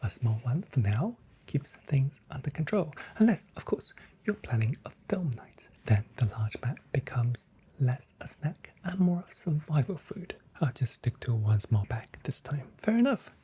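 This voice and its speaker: Whispered, mellow ASMR shop assistant voice. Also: mid-range voice, big pitch swings, a little slow. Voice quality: breathy, mostly whispered. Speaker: ASMR role-play performer playing a shop assistant. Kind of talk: whispered, soft-spoken ASMR customer service role play.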